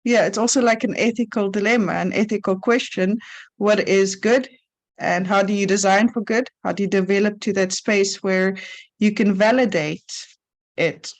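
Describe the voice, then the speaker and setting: A woman's voice: medium pitch, fairly even intonation, normal speed. A woman moderating a panel, asking probing, rambling questions full of fillers. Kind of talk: panel discussion.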